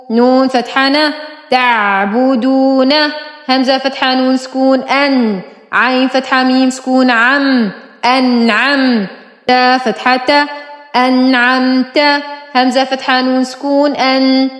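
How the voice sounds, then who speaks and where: Female teacher, very measured pace, deliberate drawn-out syllables for a phonics drill, reverberant sound with music behind. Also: high voice, little pitch movement. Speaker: Quran reading teacher. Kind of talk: slow spelling-out Arabic phonics drill.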